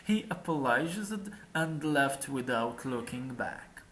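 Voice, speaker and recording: A storyteller giving a scripted narration of a folk tale in a measured, deliberate manner. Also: mid-range voice, lively intonation, a bit bright, a little hiss or hum.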